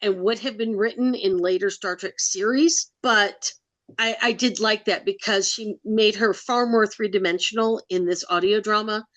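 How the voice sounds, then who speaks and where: A woman co-hosting a casual podcast, giving measured opinions and weighing what she liked and didn't. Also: medium pitch, fairly even intonation, fairly bright tone, no room sound. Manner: gives measured opinions, weighing her views. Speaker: podcast co-host.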